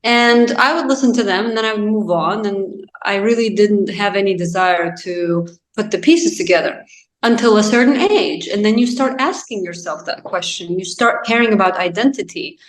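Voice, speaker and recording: A woman, talking as a guest in a relaxed interview. Her voice sits at a middle pitch, and the room gives it a clear echo. She muses and circles back, filling her pauses with "you know" and "like". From Azerbaijan. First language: Azerbaijani. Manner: reflective, thinks aloud with many "you know" and "like". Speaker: guest author. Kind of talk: casual interview chat. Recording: roomy echo.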